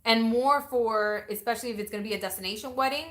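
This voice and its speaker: A woman's voice: a little high, fast talker, fairly bright tone. A woman from Venezuela hosting a casual live question-and-answer chat, laughing often and reading out viewer comments. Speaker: YouTube channel host. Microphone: ring light setup, camera mic.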